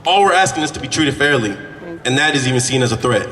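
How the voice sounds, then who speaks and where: A man delivering slow, rhythmic, emphatic lines to a rally crowd. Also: mid-range voice, expressive, bright, forceful tone, a little hiss or hum. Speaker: rally speaker. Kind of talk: rhythmic protest oratory. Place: outdoor rally at city hall. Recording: distant echoey PA.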